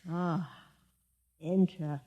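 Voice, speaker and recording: A man's voice: fairly high pitch, fairly even intonation, heavy background noise. An actor playing a wary, suspicious male gatekeeper in a 1950s radio drama. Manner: slow, suspicious. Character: wary, hushed gatekeeper of a prophet's dwelling. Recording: dull, reverberant old radio recording.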